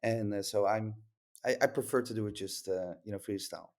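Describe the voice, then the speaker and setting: A man's voice: lowish voice, a little fast, a little mellow. A Dutch-accented man from the Netherlands, a startup founder talking casually on a podcast, rambling with lots of fillers and restarts.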